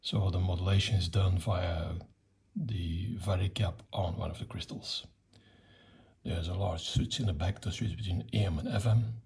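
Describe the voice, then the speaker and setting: A middle-aged or older man giving an unscripted technical demonstration in a matter-of-fact, hesitant way, with a husky, gravelly voice. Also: deep voice, normal speed, a little mellow. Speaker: electronics hobbyist presenter.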